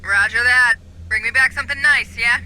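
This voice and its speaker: A cheeky dropship pilot in a sci-fi game, very high and piercingly bright for a man. He gives brisk military chatter over faint noise. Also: exaggerated voice, rough recording. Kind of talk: video game radio chatter.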